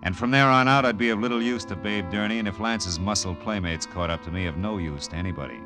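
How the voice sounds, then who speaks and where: A low-voiced, tough private eye played by a male actor talks in terse, dry, world-weary lines. It is hardboiled 1940s radio drama on a vintage recording, with music clearly behind the voice. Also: fast talker, close to an everyday voice. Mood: wry narration. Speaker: lead actor and narrator.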